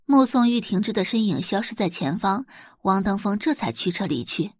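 Expressive, animated female narrator. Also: mid-range voice, moderate pace, breathy edge, no room sound, clean studio sound. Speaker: audiobook narrator. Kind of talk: expressive scripted narration of a web novel.

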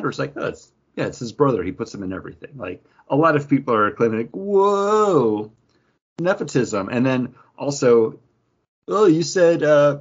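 A man's voice: mid-range voice, breathy edge, highs cut off. A man hosting a podcast, chatting casually and jokingly about pop culture. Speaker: podcast host.

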